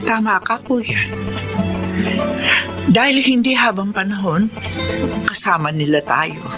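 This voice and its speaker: Slow, emotive elderly mother, played by a woman. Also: mid-range voice, loud music behind the voice, lightly acted. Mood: wistful. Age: older. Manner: sentimental, emotive. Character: tender, emotional elderly widowed mother and grandmother. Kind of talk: radio drama acting.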